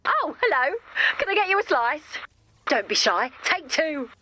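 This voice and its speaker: A woman's voice: high voice, very animated, measured pace, pushed and bright, a little hiss or hum, full character voice. An actress playing a cheerful, genteel woman with a zeal for slashing in a video game, polite and sweetly unhinged. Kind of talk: scripted video game voice acting.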